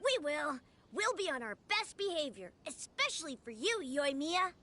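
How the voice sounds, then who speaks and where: Eager, piercingly bright little kid. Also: female voice, high voice, very animated, moderate pace, heavily acted. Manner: exuberant, eager. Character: excitable, eager little child playing with friends. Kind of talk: video game voice acting.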